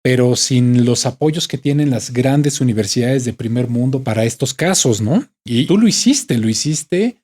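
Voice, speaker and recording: Man, mexican accent, podcast host, mellow warm tone, measured friendly questions. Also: medium pitch, animated. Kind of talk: interview questions, casual.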